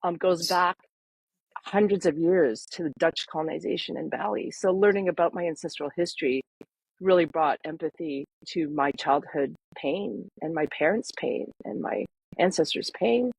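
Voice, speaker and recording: A woman in her fifties who grew up in Canada, sharing her personal story as a guest in a podcast interview, earnest and reflective with many pauses. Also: low-pitched, vocal fry, slightly rough, no echo, low-quality recording. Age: 50s. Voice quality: soft and gentle. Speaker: guest, parenting coach.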